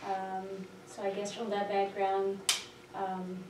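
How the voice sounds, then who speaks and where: A woman from Brazil, a native Portuguese speaker, giving an informal candidate introduction in a hesitant, halting way. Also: mid-range voice, monotone. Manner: hesitant, halting with frequent pauses. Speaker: school board candidate. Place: indoor public board meeting, far microphone. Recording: roomy, noisy, distant meeting-room microphone.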